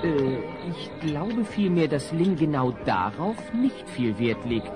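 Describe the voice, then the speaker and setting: A man's voice: a little high, sing-song, slow, over loud music. A man narrating a comedy audio drama in a scripted, wry storytelling manner.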